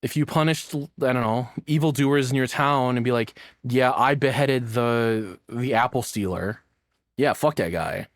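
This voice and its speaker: A man's voice: medium pitch, moderate pace, no echo, studio quality. A man giving casual, joking reaction commentary as a video host, rambling and swearing in a flippant way.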